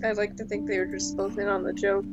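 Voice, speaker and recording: A woman co-hosting a casual podcast, chatting in a soft-spoken, laid-back way. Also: mid-range voice, little pitch movement, quick pace, music in the background. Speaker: podcast co-host. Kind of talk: casual chat. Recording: dull lo-fi mic.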